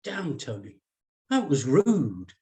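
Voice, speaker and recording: A man's voice: medium pitch, very animated, slow pace, dark tone. A man playing a slangy, streetwise young woman who coaxes and pleads with a friend in a screenplay read. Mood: insistent, coaxing. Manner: slangy, coaxing.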